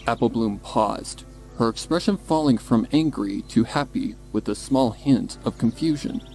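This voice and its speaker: A man narrates a scripted story. His voice is medium in pitch, with faint music playing behind it. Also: moderate pace, vocal fry, a little mellow.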